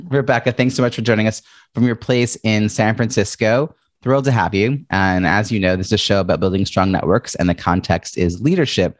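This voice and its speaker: A male podcast host, chatty and expressive, introducing and talking with a guest. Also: on the low side, a little fast, dry recording, studio quality. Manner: chatty, says he has a loud voice and big presence. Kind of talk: interview podcast.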